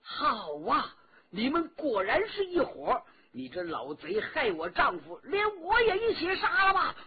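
A man voicing a furious, desperate swordswoman in a told martial-arts story, hurling fierce accusations in a husky, rising voice. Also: very high-pitched, wildly swooping pitch, a little slow, voice pushed up, full character voice. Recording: dull, lo-fi audio.

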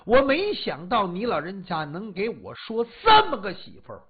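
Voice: medium pitch, wildly swooping pitch, normal speed, slightly rough, narrow, tinny audio. A storyteller voicing a drunk, aggrieved husband who stammers and complains at length. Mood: aggrieved whining. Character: drunk aggrieved husband pleading his case.

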